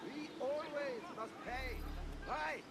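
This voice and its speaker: A man's voice: very high voice, takes it slowly, gravelly, very noisy, full character voice. A man playing an aggrieved colonial commoner in a video game, bitterly declaiming complaints about tyrants. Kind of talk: video game crowd acting. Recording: busy outdoor crowd behind.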